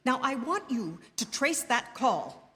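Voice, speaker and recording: A woman's voice: high voice, big pitch swings, slow pace, a bit bright, exaggerated voice. An actress playing an anxious, demanding married woman on the telephone in a stage radio drama, agitated, haughty and insistent. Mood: indignant, demanding. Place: theatre stage before an audience. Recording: live theatre stage with long reverb.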